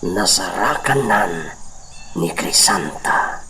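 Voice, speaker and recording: A man's voice: low-pitched, lively intonation, slow pace, gravelly, a crowd in the background, exaggerated voice. A man playing a humble hacienda servant in a radio drama, deferential and a little plaintive with his masters. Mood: hesitant, reporting. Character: Baldo, humble male hacienda servant, loyal but grumbling. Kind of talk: Ilocano radio drama acting.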